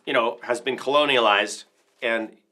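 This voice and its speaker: A man's voice: mid-range voice, normal speed, pushed and bright. A man hosting a casual livestream as a percussion teacher, talking through instruments in an informal, rambling, enthusiastic way.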